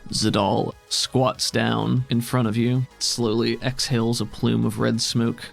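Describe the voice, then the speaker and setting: Casting: male game master, gravelly mid-pitched voice, dramatic descriptive narration of a tabletop session, faint music. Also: little pitch movement, unhurried.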